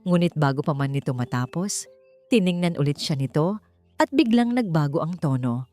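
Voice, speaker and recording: A Filipino woman reads a scripted story as an audiobook narrator. Her voice sits a little low, and her pitch moves in a lively way as she tells it expressively and with measure. Music plays behind her. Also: moderate pace, no echo. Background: background music.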